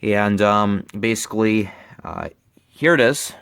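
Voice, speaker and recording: A young man with a fairly low voice presents in a casual vlog style. He speaks haltingly, doubling back on words. The sound is close and very dry, recorded in a bedroom on a handheld camera. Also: animated, gravelly, a bit bright, clear, resonant tone, dry recording. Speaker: hobbyist presenter. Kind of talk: casual product show-and-tell vlog. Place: bedroom, indoors. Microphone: handheld camera, close behind it.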